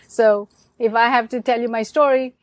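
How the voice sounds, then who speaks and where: Lively woman telling her life story. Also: fairly high pitch, moderate pace, no echo. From India. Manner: animated storyteller who acts out quoted people, laughs often. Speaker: podcast guest. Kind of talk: storytelling interview.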